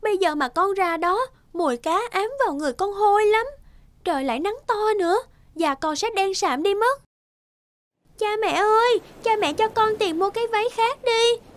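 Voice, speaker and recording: A woman's voice: very high voice, normal speed, no echo, clean studio sound. A woman playing a vain, spoiled teenage girl in a cartoon fairy tale, whiny and demanding.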